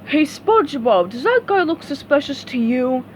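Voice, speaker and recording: A woman voicing a slow, dim, good-natured male starfish in a storybook reading. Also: sits high, very animated, slight background noise, pitched above the performer's own voice. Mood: dopey.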